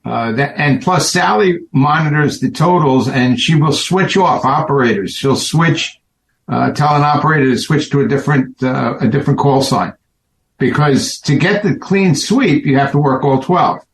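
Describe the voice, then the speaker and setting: A man's voice: mid-range voice, moderate pace. Matter-of-fact man in an echoey room. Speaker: guest, event co-organizer. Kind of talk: casual chat.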